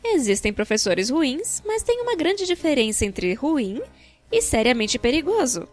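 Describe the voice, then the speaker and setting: Brazilian woman doing storytime narration, highish voice, wry n expressive, casual wording, music in the back. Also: moderate pace. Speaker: narrator of a fan-dubbed animated storytime video. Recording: background music.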